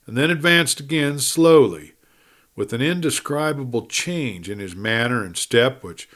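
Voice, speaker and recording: A man reading a western adventure story as a dramatic audiobook narrator. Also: mid-range voice.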